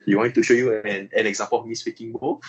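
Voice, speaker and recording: A man's voice: fairly low pitch, steady tone, fast, a little hiss or hum, rough recording. A young Malaysian man with a Malaysian accent, answering interview questions casually and hesitantly, with many fillers and restarts.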